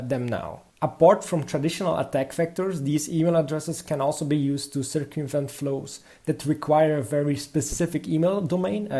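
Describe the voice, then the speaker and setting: A young man with a Belgian accent delivers a prepared conference talk in a mellow, warm voice. His pitch sits somewhat high for a man. He explains step by step and often pauses on "uh" fillers. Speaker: conference speaker.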